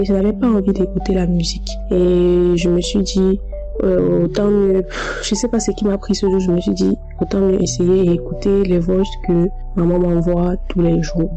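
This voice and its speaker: A woman's voice: lowish voice, lo-fi sound. A woman giving an earnest personal religious testimony to fellow believers, telling her story steadily with small restarts. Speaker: church member giving a testimony. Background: music playing under the voice.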